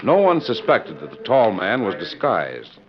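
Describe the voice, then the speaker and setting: A man's voice: on the low side, wildly swooping pitch, measured pace. A man announcing and narrating a 1940s radio western in a formal, theatrical style. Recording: muffled vintage 1940s radio recording. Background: music.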